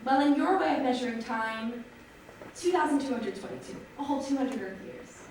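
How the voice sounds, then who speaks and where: A woman's voice: sits high, expressive, brisk, darkish tone. An actress in a staged reading playing a reassuring, upbeat woman doctor of a Martian colony. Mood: soothing, upbeat. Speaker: musical theatre actress. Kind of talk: staged reading, theatrical dialogue. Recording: echoey theatre, distant mic, faint noise.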